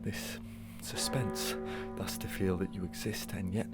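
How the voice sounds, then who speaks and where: Deep-voiced, bitter condemned murderer. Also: male voice, fairly even intonation, measured pace, loud music behind the voice. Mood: brooding, defiant. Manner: brooding, theatrical, archaic diction. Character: proud, bitter condemned male murderer awaiting the gallows. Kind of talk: Victorian melodrama soliloquy.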